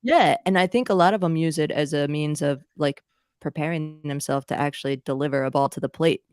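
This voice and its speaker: A woman's voice: deep voice, fairly quick, darkish tone, clear, resonant tone, no room sound, studio quality. A woman from the southern United States co-hosting a baseball talk show, chatting casually. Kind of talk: casual chat.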